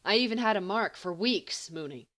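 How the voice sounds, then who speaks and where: Brash, placating teenage boy, played by a woman narrator, emphatic and talkative, very lively intonation, audiobook. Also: medium pitch, normal speed, natural voice. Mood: anxious reassuring. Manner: emphatic, rambling. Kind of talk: acted audiobook dialogue.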